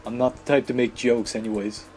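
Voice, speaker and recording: A man's voice: mid-range voice, little pitch movement, brisk, a little mellow, soft background music. A man hosting a let's play, reading a visual novel aloud with sardonic, casual asides. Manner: sardonic asides, breaking off to joke.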